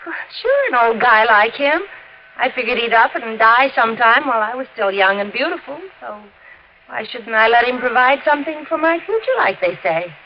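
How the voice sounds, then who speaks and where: An actress playing a brassy, flirtatious, callous widow of about thirty in a 1950s radio drama, with a slangy, blunt, offhand manner. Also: female voice, on the high side, animated, moderate pace. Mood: defensive, brazen. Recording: muffled vintage radio recording, background hiss.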